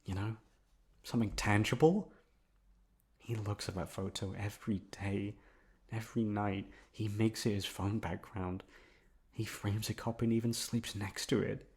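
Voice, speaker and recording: A man playing an obsessive, lovesick young man in an intimate ASMR roleplay, coaxing softly with drawn-out words and emphatic repetition. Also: on the low side, fairly even intonation, natural voice. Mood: obsessive, yearning. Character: obsessive lovesick young man confessing to his best friend.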